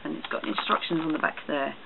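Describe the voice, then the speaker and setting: A man giving a casual, halting show-and-tell product review for a vlog. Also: on the high side, gravelly. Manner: hesitant, halting delivery. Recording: tinny phone-like sound, loud noise, clicks.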